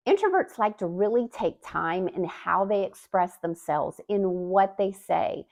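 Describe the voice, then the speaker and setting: A woman hosting her own channel as a communication coach, giving a scripted, expressive talking-to-camera explainer with emphatic rises in her delivery. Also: medium pitch, measured pace, vocal fry. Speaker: YouTube host and communication coach.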